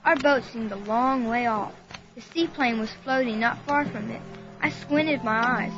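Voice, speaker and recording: A woman's voice: fairly high pitch, unhurried, lightly acted. A woman playing an earnest, frightened young boy who narrates an adventure story dramatically. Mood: suspenseful. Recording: dull lo-fi recording, music behind.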